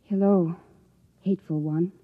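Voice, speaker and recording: A woman's voice: on the low side, steady tone, unhurried. An actress playing an earnest, love-struck young woman researcher in a 1950s radio drama. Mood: brisk, official. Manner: earnest, hesitant, emotional. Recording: dull lo-fi 1950s radio recording.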